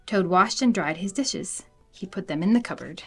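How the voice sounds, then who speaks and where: A woman reading a children's storybook aloud in an animated narrating style. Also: medium pitch, moderate pace, husky, faint music behind. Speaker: storybook reader.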